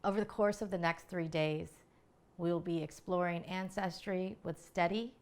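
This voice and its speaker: Low-voiced woman hosting and reading aloud. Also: steady tone, moderate pace, a little mellow. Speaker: segment curator and host. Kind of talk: introduction and reading from her own novella.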